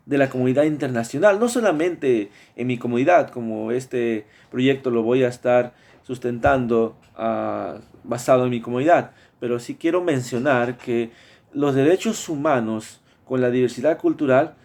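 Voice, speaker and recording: A man with a Mexican Spanish accent gives a spoken class presentation. His pitch sits in an ordinary middle range. He pauses often, fills gaps with drawn-out sounds and restarts his phrases. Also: a little mellow. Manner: hesitant, many fillers and restarts. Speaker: student presenting a project.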